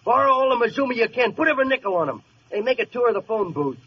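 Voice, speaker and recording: An actor playing an irritable small-time gangster and gambler with slangy, blustering wisecracks, in old radio comedy style. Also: male voice, extremely high pitch, sing-song, very fast, husky, exaggerated voice. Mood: excited. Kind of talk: 1940s-50s radio comedy acting. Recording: muffled, noisy old radio recording.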